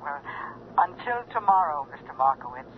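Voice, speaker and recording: A woman's voice: low voice, sing-song, moderate pace, narrow, tinny audio. An actress playing a deferential, eager woman, heard as a telephone voice in a 1970s radio drama. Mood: delighted. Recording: thin telephone voice, hissy 1970s broadcast.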